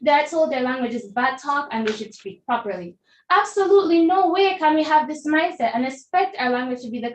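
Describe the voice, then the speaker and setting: A young Jamaican woman giving a formal, emphatic debate speech, rhetorically addressing the judges. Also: high-pitched. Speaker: debater, deputy prime minister speaker. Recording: echoey room.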